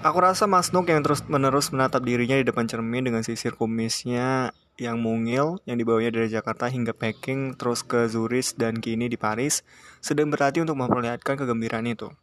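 Male narrator for literary fiction, measured and flat in intonation, mid-range voice, light noise behind. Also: medium pitch. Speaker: audiobook reader. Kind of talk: scripted literary narration in first person.

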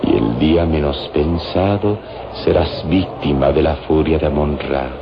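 A man's voice: extremely low pitch, noisy background. A man playing a solemn, mysterious adventurer hero who speaks grandly in an old-fashioned radio drama. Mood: ominous. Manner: solemn, grandiloquent, deliberate warnings. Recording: dull old radio serial recording.

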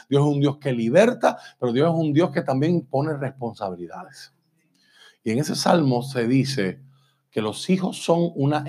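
A male preacher giving a church sermon, slowing down to stress points and often asking "¿verdad?". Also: medium pitch, a little mellow, husky. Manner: emphatic, slows and repeats for stress, asks "¿verdad?". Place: church sanctuary.

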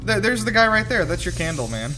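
A man's voice: sits high, sing-song, fast, rough recording. A man chatting casually as a podcast host, reacting to a film in an informal, exclamatory way and swearing freely. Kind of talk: casual chat, movie reaction commentary. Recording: film soundtrack playing loudly behind. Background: movie audio and music.

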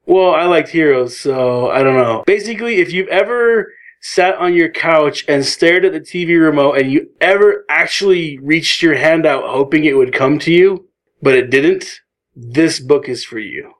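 A man's voice: on the high side, moderate pace. A man on a podcast panel, chatting in a light, joking way and laughing often. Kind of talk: casual podcast chat.

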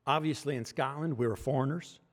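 A man's voice: mid-range voice, brisk, big-room sound. A man with an American accent preaching a sermon as pastor, talking conversationally and joking with the congregation. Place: church sanctuary.

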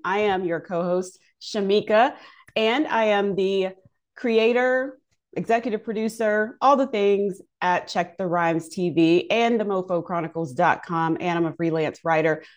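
A woman's voice: mid-range voice, moderate pace. A woman co-hosting a podcast, introducing guests in a chatty, informal way and laughing easily. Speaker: podcast co-host.

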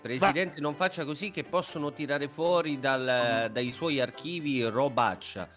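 A man's voice: mid-range voice, moderate pace, a bit bright, faint music behind. A man co-hosting a comedy show in casual banter, often cutting in with protests. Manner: interjecting, protesting. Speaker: podcast co-host.

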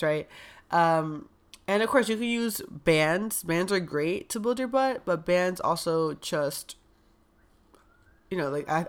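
A woman's voice: lowish voice, a bit bright. Chatty, stuffed-up young woman coach. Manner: chatty, frank, heavy use of "like" and "um". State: stuffed-up nose. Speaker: wellness coach. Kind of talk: casual podcast talk.

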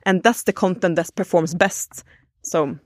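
A woman's voice: lowish voice, measured pace, dry recording, studio quality. A woman, chatting casually on a podcast and thinking aloud with fillers.

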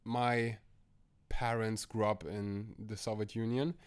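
A man's voice: lowish voice, level tone, slow pace, clean tone. A man from Germany, a podcast guest talking casually and hesitantly from a bedroom at home, with many restarts. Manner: hesitant, full of restarts and laughs. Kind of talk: casual conversational interview.

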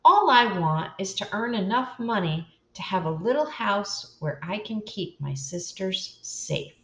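An earnest young orphan girl, spoken slowly and carefully by an older woman reading aloud. The delivery is gentle and unhurried, with a roomy echo around the voice. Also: mid-range voice, animated.

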